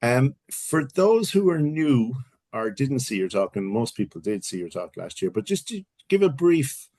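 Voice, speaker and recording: An Irish man interviews a guest on a video call. He keeps it short and friendly, nudging the talk along with quick questions in an ordinary mid-pitched voice. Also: sing-song, darkish tone. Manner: friendly, brief prompting questions. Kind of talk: casual interview chat.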